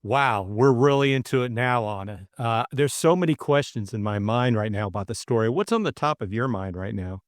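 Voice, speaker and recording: A man's voice: mid-range voice, normal speed, hardly any pauses, soft background music. A middle-aged man co-hosting a casual book discussion, thinking aloud in a hesitant way. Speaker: audiobook co-host and narrator.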